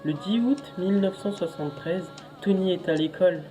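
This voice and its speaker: A woman's voice: lowish voice, normal speed, dark tone, soft background music, lightly acted, poor audio. An actress playing a storyteller who recounts a young boy's day in scripted dramatic narration. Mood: narrating.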